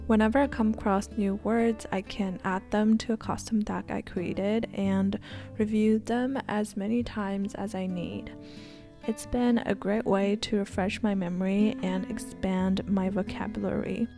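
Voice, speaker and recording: A young woman in her twenties narrates a voice-over in a soft, hushed, almost whispered tone. Her mid-pitched voice has a gravelly fry at the phrase ends, and light music plays faintly underneath. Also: steady tone, unhurried. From Canada. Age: 20s. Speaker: language learning vlogger.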